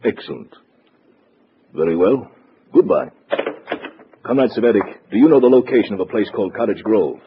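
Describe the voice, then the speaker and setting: An actor playing a haughty, secretive party courier in a 1950s radio drama, speaking formally and imperiously with a deliberate delivery. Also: male voice, lowish voice, lively intonation. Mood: self-important. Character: haughty, secretive male Eastern European courier and veteran agent. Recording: muffled, hissy vintage radio recording.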